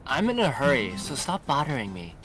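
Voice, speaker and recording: A woman's voice: a bass voice, very animated, fairly quick. A woman voicing a bratty, irritable young boy in an anime fan dub, brusque and defensive in manner. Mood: annoyed, hesitant. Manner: brusque, indignant. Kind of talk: anime fandub acting. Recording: music behind the voice.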